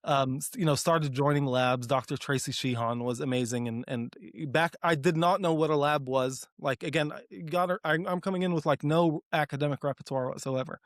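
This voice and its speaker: A man in his thirties from Texas, talking eagerly and earnestly as an interview guest, full of "like" fillers and quick restarts. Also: a little high, no echo, clean studio sound. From Dallas, Texas, US. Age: 30s. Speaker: guest, applied research leader. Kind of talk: conversational interview.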